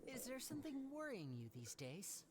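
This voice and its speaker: An actor voicing a young stage magician in a video game, teasing and unhurried. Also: male voice, very high voice, wildly swooping pitch, a loud crowd, exaggerated voice.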